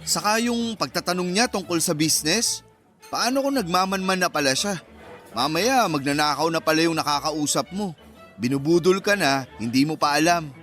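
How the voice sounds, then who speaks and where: An actor playing a bossy, meddling close friend in a radio drama, with a teasing, exclamatory manner. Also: male voice, a little high, normal speed, fairly bright tone, soft background music, lightly acted. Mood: scolding.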